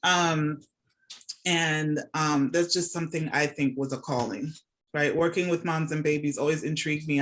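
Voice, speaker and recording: A woman's voice: deep voice, fairly bright tone, slight background noise. A middle-aged woman talks as a guest on a podcast. Her delivery is chatty and casual, explaining things conversationally and often checking that the listener follows. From born and raised in Jamaica, moved to the United States as a child. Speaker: podcast guest, nurse and academic. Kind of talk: casual conversational interview answers. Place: remote video call from home.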